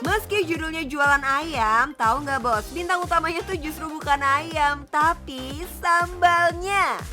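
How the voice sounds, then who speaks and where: A woman's voice: high-pitched, measured pace. A woman, narrating a scripted TV magazine feature in a playful, chatty way. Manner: playful, chatty, addresses viewers as boss. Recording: music bed under the voice. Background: background music.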